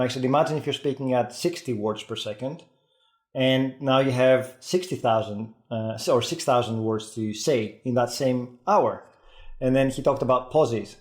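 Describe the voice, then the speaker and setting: A man from Greece with a Greek and Scottish accent, talking fast and eagerly as a podcast guest, often restarting his sentences. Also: mid-range voice, darkish tone, reverberant. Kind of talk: casual podcast conversation.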